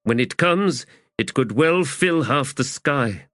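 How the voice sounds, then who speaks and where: A man's voice: medium pitch, expressive, slow, fairly bright tone, dry recording, clean studio sound, voice pushed up. Man as a solemn, portentous soldier, lifted voice.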